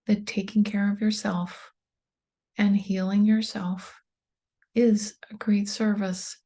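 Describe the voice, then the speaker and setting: A woman leading a guided meditation in a soft, breathy voice, calm and soothing, with unhurried pauses. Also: mid-range voice. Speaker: meditation guide, tapping coach.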